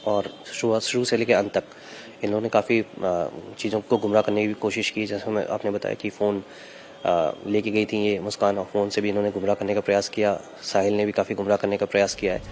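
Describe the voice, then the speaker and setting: A man with a fairly low voice gives an official, measured briefing, pausing as he explains. There is faint ambient noise behind him. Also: little pitch movement, a little mellow. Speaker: police official. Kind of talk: press briefing, unscripted explanation.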